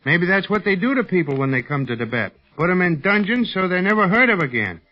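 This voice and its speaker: A man's voice: high-pitched, big pitch swings, quick pace. A man playing a brash, grumbling American pilot sidekick with a slangy, folksy delivery in a 1930s radio serial. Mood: gloomy. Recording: muffled 1930s radio recording.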